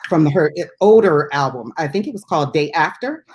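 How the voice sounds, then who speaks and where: A woman's voice: low-pitched, expressive, fairly quick, a little mellow. A woman co-hosting a casual music chat show as a DJ, talking in an informal, chatty and giggly way. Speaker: radio DJ and co-host.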